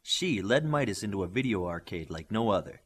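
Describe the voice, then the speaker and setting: A man's voice: lowish voice, lively intonation, fairly quick. A man reading an audiobook, narrating a scripted story. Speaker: audiobook reader.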